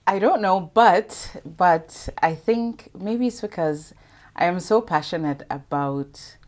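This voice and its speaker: A middle-aged woman from Jamaica speaks as a guest in a conversational interview. Her voice sits low, and she talks reflectively, laughing easily between thoughts. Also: slow, a little mellow. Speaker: guest, banking executive.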